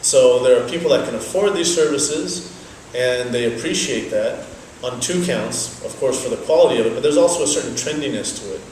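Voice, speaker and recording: A young man whose first language is Dutch, giving measured, explanatory answers in an interview. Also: medium pitch, a little mellow, slight background noise. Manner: measured, explanatory, thoughtful pauses. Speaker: interviewee, business owner. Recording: very echoey, from a distance, faint ambience.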